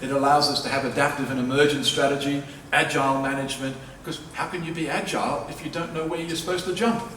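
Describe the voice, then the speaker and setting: A man's voice: medium pitch, steady tone, fast talker, few pauses, fairly bright tone. A man giving a public lecture as a professor, speaking in an emphatic, persuasive way and repeating key phrases for stress. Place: large lecture hall at a university. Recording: echoey hall, distant mic, faint noise.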